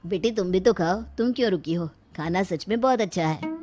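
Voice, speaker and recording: A genial middle-aged father-in-law, voiced by a woman, speaks at a middling pitch in a storybook style. Faint music plays behind. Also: animated, moderate pace, warm tone, lightly acted. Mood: cheerful.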